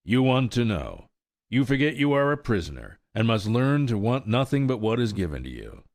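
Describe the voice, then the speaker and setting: A man voicing a sorrowful, resigned old mare in a storybook reading, speaking slowly with sighs. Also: on the low side, sing-song, darkish tone, dry recording, studio quality. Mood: cold. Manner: slow, sighing, world-weary.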